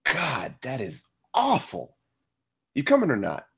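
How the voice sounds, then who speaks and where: A man's voice: medium pitch, huge pitch swings, unhurried, creaky, fairly bright tone, husky, exaggerated voice. A man at a script table read playing a harried, brash buddy who is a father of many. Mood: pushy.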